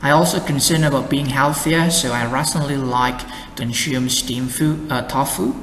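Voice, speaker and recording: A young adult man with an Indonesian accent, an English exam tutor explaining slowly and carefully with model answers. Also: mid-range voice, fairly bright tone, a little hiss or hum. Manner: slow, careful explaining with many "and then" links. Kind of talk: lesson with sample answers. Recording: echoey room with faint ambience.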